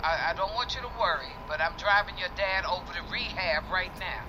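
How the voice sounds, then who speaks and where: An elderly grandmother played by an actress with very lively, swooping intonation. She is folksy, fussy and blunt, in an audio drama, speaking over clear car noise. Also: lowish voice, fairly quick, natural voice. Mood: reassuring. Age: older. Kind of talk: naturalistic audio drama acting.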